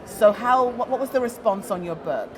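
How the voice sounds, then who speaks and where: A woman's voice: on the high side, animated, normal speed, music in the background. A woman interviewer who is chatty and opinionated, leading her guest with her own comments and questions. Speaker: interviewer, founder and CEO. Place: trade fair. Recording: busy crowd noise behind.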